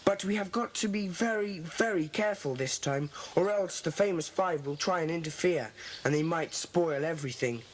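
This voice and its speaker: An actor plays a solemn schoolboy in charge of his secret club. He speaks deliberately and with command, in children's audio drama style. The cassette recording has faint noise. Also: mid-range voice, close to an everyday voice. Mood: earnest. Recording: faintly noisy 1980s cassette.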